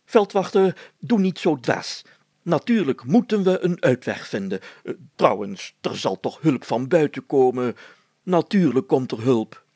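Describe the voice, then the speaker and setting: A man's voice: mid-range voice, sing-song, measured pace, dark tone, breathy edge, dry recording, heavily acted. A man voicing a bossy, hearty village mayor in a storybook, commanding and pompous, declaiming his lines. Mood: reassuring, firm.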